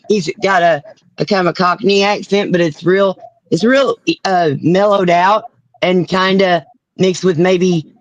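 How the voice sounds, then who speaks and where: High-voiced rambling man on a stream. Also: no room sound, dull audio. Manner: giggly, rambling, restarts often. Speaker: co-host. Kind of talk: casual livestream panel chat.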